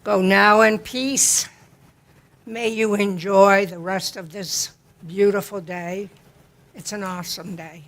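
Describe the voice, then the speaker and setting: A woman addresses a church congregation in an unhurried, deliberate way, lingering over her words. She speaks in a middle range that she herself calls low, with a plain, homespun manner. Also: steady tone, a bit bright. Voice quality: describes her own voice as low. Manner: slow, deliberate, homespun delivery. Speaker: church member speaking at a worship service. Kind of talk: gentle spoken reflection and hymn lead-in. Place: church sanctuary.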